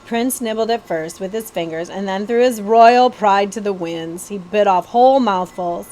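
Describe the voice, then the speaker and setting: A woman's voice: medium pitch, normal speed, darkish tone, rough recording. A woman reading a children's novel aloud as a storybook narrator.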